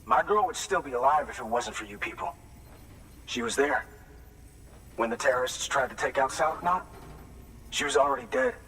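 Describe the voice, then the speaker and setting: A man's voice: medium pitch, big pitch swings, moderate pace, long pauses, bright, forceful tone, far from the mic, a little hiss or hum, low-quality recording. An actor playing a grieving father in a video game cutscene, blunt and resentful, swearing as he distrusts the people he blames. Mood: bitter.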